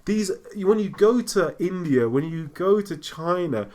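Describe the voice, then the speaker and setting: Chatty British man, animated. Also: sits high, unhurried. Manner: animated, laughing often, long digressive explanations. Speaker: podcast co-host. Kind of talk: casual chat.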